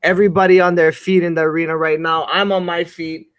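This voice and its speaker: A man's voice: fairly high pitch, flat delivery, quick pace, a bit bright. A man co-hosting a sports broadcast with casual, exclamatory reactions, often repeating himself. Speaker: radio co-host.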